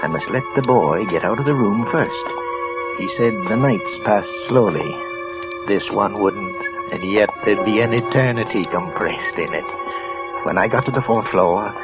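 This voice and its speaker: An actor playing a nervous, trembling office clerk who narrates in a hushed, tense voice, often sinking to a whisper, in a 1940s radio drama. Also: male voice, lowish voice, a little slow, dull audio. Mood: tense, brooding. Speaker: narrator and lead character. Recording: old radio broadcast with music.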